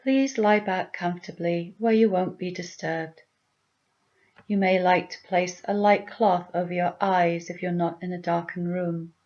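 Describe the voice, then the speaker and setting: A woman leading a guided meditation with gentle, soothing, deliberate instructions. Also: on the low side, steady tone, muffled recording.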